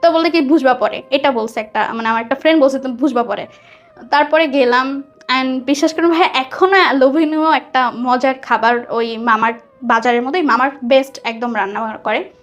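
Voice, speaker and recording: A woman's voice: high-pitched, normal speed. A young woman with a Bangladeshi accent telling a personal story conversationally as a guest, acting out what people said. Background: background music.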